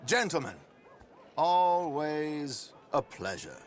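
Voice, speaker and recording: A man playing a flamboyant, smooth gentleman con artist in a video game, florid and courteous in his theatrical delivery. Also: a little high, sing-song, slow. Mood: breezy, teasing. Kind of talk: cinematic video game acting.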